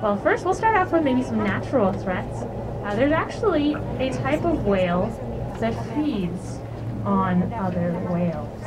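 A woman's voice: on the high side, sing-song, unhurried, over loud music, low-quality recording. A woman narrating a whale watching tour, chatty and playful, asking the passengers questions. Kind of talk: guided tour commentary over a PA. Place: outdoors on a moving boat at sea. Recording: loud boat engine and wind noise.

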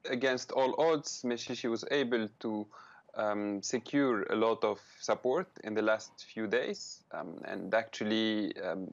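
A man in his thirties, a political analyst, answering a live news interview over a video call from a room lined with bookshelves, speaking in measured phrases with many ums and restarts. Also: lowish voice, fairly bright tone. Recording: slightly dull video-call audio.

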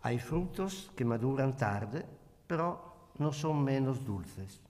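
A man's voice: medium pitch, animated, a little mellow, in a large hall. An older Italian man speaking Spanish with an Italian accent, reading a reflective lecture from notes at a panel table. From Italy. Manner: slow, measured, reflective reading. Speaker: guest speaker. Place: conference hall at a panel table. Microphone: table microphone.

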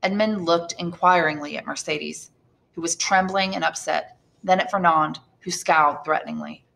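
A woman reading a classic novel aloud as an expressive storyteller. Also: on the low side, moderate pace, gravelly. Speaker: audiobook reader, English teacher. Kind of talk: expressive scripted novel narration.

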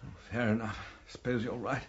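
A man's voice: medium pitch, flat delivery, fast, breathy edge, muffled recording, natural voice. An actor playing a flustered, polite middle-aged English man in a radio drama, hesitant and proper. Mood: embarrassed, sore. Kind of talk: BBC radio drama acting.

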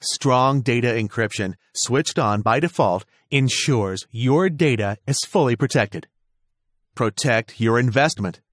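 A man's voice: medium pitch, a bit bright, no echo. Man reading a dry promotional product overview. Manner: smooth, measured promotional delivery. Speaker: promotional narrator. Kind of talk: scripted product overview narration. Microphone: studio voice-over.

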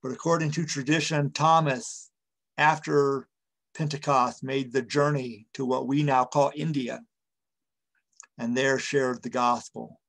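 Slow-paced older male pastor preaching. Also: medium pitch, steady tone, gravelly, a bit bright. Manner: deliberate, pausing between short phrases. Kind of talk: sermon, reflective preaching. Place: small room at home, indoors. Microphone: computer webcam or video call microphone.

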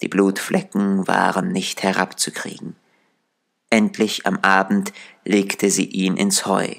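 A male storyteller reads a dark fairy tale from a script. His voice is lowish and creaky, and he takes his time, measured and deliberate. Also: monotone.

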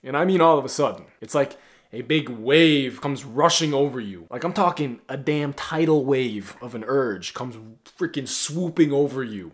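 A man in his twenties giving blunt, profane self-improvement advice straight to camera in a small bedroom, often swinging from loud to quiet. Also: medium pitch. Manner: blunt, profane, animated with big swings in loudness. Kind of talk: direct-to-camera advice vlog.

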